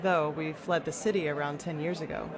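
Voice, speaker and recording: Wry, blunt fantasy adventurer. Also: female voice, deep voice, steady tone, a little fast, crowd noise. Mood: candid, animated. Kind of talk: scripted fantasy video game dialogue.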